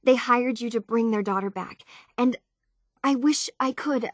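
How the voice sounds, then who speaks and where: A dry, close recording of an earnest young woman in a video game. Her voice sits a little high and sounds natural and conversational, with a hesitant edge, like a thoughtful tinkerer working out who she is. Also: unhurried, no room sound. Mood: determined, troubled. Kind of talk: naturalistic game dialogue acting.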